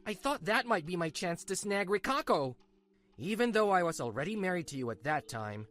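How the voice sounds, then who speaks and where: A woman's voice: on the low side, very animated, fairly quick, fairly bright tone, faint music behind. A woman voicing a smug, sneering middle-aged husband in a manga dub. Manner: sneering, dismissive.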